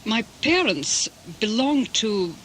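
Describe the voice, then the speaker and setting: A woman's voice: on the high side, sing-song, fairly bright tone, poor audio. Articulate older woman, faint hiss. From Britain. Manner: measured, articulate, with careful restarts. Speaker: interviewee, interpreter. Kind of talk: reflective radio interview storytelling.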